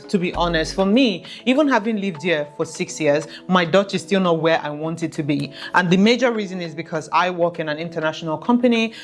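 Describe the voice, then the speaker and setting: Earnest woman giving advice, lower voice. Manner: earnest, direct, addresses viewers. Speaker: expat vlogger giving advice. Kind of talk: explanatory advice vlog.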